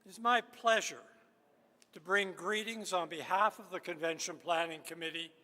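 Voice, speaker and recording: Male convention chair, crisp bright voice, somewhat high, hall echo. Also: fairly even intonation, moderate pace. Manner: formal and ceremonial. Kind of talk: formal opening remarks. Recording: reverberant hall, PA sound.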